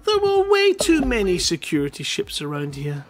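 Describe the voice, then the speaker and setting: A man's voice: sits high, huge pitch swings, moderate pace, a crowd in the background. A man in his thirties casually hosting a live story-reading show, speaking into a headset. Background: faint music.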